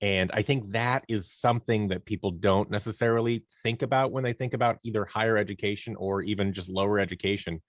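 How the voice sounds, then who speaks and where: Male podcast guest, lowish voice, casual and self-deprecating, thinking out loud, recorded dry. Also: a little fast, few pauses, no echo. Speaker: webinar guest, audio drama producer and sound designer. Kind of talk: casual interview talk.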